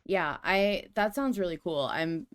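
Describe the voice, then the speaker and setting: A woman's voice: on the low side, lively intonation, unhurried, clean tone. A woman co-hosting a podcast in a casual, conversational fan chat. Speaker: podcast co-host.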